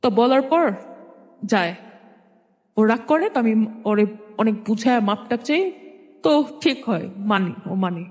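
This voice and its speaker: Young bangladeshi woman telling her life story casually, highish voice, long echo on it. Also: animated, measured pace, long pauses, darkish tone. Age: young adult. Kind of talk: conversational personal storytelling. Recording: reverberant hall-like echo.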